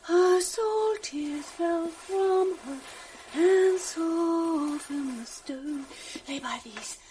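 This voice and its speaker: An actress playing a gentle, sorrowful young noblewoman in a radio drama, speaking softly and wistfully, at times half singing. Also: very high-pitched, drawn-out words, a little hiss or hum, full character voice, rough recording. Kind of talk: 1980s radio drama acting.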